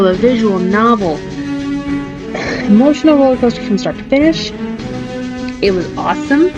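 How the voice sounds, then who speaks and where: A woman hosting a casual visual novel playthrough, talking informally and enthusiastically with slangy, drawn-out emphasis. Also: mid-range voice. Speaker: gaming channel host. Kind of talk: casual visual novel playthrough commentary, reading text aloud. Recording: dull lo-fi mic, music behind. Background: game music.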